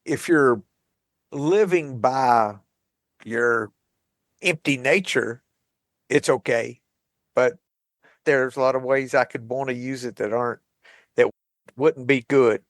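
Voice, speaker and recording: A man co-hosting a recovery podcast, calm and wry, questioning himself aloud. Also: mid-range voice, slow, pauses often, dry recording, clean studio sound. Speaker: podcast co-host. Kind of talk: reflective recovery group talk.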